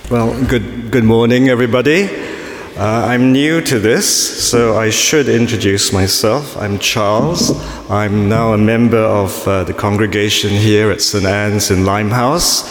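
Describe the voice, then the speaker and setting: A man's voice: on the low side, fairly even intonation, a little slow, a little hiss or hum. A man from Singapore giving a sermon at a family church service, chatty and self-mocking, with frequent ums and asides. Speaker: preacher. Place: large church. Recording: echoey church with long reverb.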